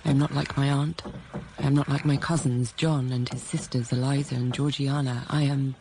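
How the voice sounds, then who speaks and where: An actress playing a grave woman narrating her bleak childhood in a literary drama, in measured, sombre short phrases. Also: rumbling low, flat delivery, background noise. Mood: bleak, brooding.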